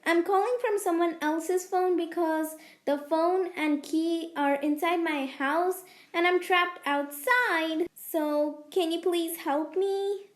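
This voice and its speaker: A woman playing a mischievous young girl in an animated moral story, with music behind her. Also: extremely high pitch, slow, few pauses. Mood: pleading. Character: mischievous young girl who loves pranks, later panicked.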